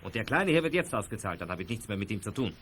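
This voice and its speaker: Domineering gang boss with big swings. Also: male voice, fairly low pitch, sing-song, fast, fairly bright tone, slight background noise. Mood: angry. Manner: gruff, commanding. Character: bullying male crime gang boss, rough and domineering. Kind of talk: German radio play for young listeners.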